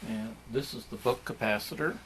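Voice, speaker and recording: A man giving a casual, halting, plain-spoken demonstration as a hobbyist narrator. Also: lowish voice, a bit bright, slight background noise. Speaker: vintage radio collector demonstrating.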